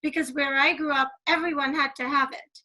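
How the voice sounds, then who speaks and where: A woman's voice: high-pitched, steady tone, a little fast, bright, forceful tone, poor audio. A woman from Guyana hosting an interview over a video call, asking questions in a chatty, earnest way.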